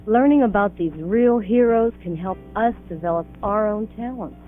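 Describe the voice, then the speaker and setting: A woman acts as an earnest youngster in an old classroom film. The delivery is explanatory and sincere, at a middle pitch. The sound is thin and tinny, like a worn 16mm print, with music underneath. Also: normal speed, lightly acted. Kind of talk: educational film dialogue.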